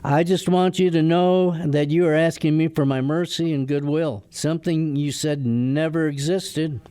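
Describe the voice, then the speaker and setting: A man's voice: a little high, fairly even intonation, moderate pace, dark tone, smooth, lightly acted. A man acting a feisty, stubborn lawyer son in a radio drama, with an argumentative, sardonic way of talking. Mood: wry.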